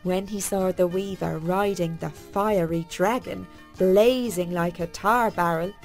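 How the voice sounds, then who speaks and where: A woman reads a bedtime tale for children. She is folksy and expressive, taking her time over each phrase. Faint music plays behind her. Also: fairly low pitch, unhurried. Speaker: storyteller. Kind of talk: scripted bedtime story narration for children.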